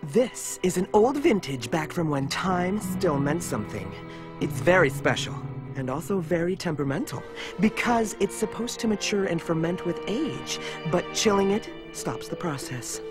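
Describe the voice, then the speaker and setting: A woman's voice: on the low side, very animated, normal speed, music in the background. A woman playing a flirty, teasing woman bartender in a dubbed video game cutscene, with a gushing drawl. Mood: smooth, musing.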